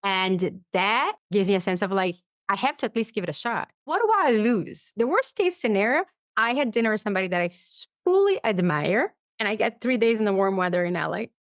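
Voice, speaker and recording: A woman guest in a conversational interview, explaining ideas through personal examples and acting out her inner dialogue in an animated way. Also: mid-range voice, brisk, no echo, clean studio sound. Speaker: podcast guest, psychologist and author.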